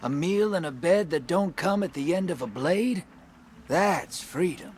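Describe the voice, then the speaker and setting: Sly drifter, slow swooping drawl. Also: male voice, on the high side, lively intonation, a little mellow, slight background noise. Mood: wry, reflective. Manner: folksy, streetwise drawl. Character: worldly, sly male drifter, weathered survivor. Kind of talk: video game dialogue acting.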